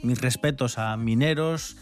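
Playful Asturian radio co-host. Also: male voice, mid-range voice, measured pace, soft background music. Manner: playful chatter. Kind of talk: studio banter. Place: radio studio.

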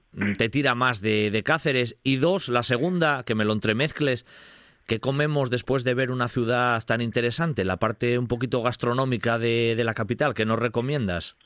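Presentador de radio, hombre de Asturias, pausado y cercano en estudio seco. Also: male voice, mid-range voice, fairly bright tone, clean tone, no echo, studio quality. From Asturias, Spain. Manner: conversational, hesitant with restarts. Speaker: radio host. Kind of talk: radio interview.

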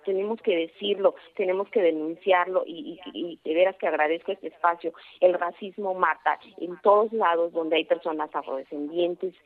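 A woman from Oaxaca speaks with a Mexican accent, her voice sitting low. She talks earnestly in halting phrases full of fillers and restarts. Her voice comes through a narrow, tinny phone line. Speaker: guest, traditional cooking expert. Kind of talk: phone interview on radio.

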